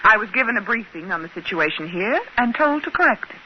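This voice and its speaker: Through a dull, faintly hissy old radio recording comes an actress as a brisk, haughty American woman inspector. Her pitch swings widely as she issues curt commands in 1950s radio drama style. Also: very animated, lightly acted. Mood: brisk, imperious. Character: imperious, brisk young female company inspector.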